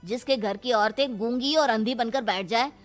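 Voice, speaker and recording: Music sits behind a fairly high, scolding voice. It is a woman playing an indignant guest in a cartoon family tale, judgmental and dismissive. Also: normal speed, natural voice. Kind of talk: animated moral story dialogue. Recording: music under the voice.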